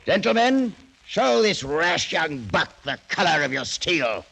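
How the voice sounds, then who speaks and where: A man's voice: on the high side, sing-song, a little slow, vocal fry, bright, forceful tone, heavily acted. An actor playing a ruthless, imperious ageing French nobleman in a theatrical, mocking, commanding old-time radio drama style. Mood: scornful command. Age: older. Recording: noisy vintage radio drama recording.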